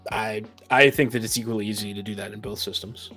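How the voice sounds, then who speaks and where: A man's voice: fairly low pitch, steady tone, quick pace, sharp tone. A man talking through his reasoning in a casual panel discussion, explaining at length and readily allowing for his own limits. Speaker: podcast panelist. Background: background music.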